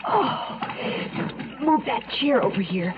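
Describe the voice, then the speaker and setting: A bold but frightened young woman in a radio play. Her high voice swoops with urgency, yet the pace is measured, and the old recording is muffled and noisy. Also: animated. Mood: urgent, curious. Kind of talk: old-time radio drama acting.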